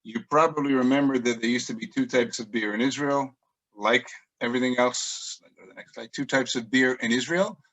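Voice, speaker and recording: A man's voice: mid-range voice, brisk, fairly bright tone, rough recording. A middle-aged man guiding a virtual tour, telling chatty stories with frequent ums as he works through his slides. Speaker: tour guide.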